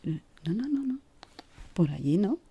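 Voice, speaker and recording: A woman from whispers softly and without hurry in an ASMR roleplay, gently giving instructions as a decorator guiding a newcomer. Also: mid-range voice, sing-song, slightly rough, lo-fi sound. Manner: soft, unhurried, gently instructing.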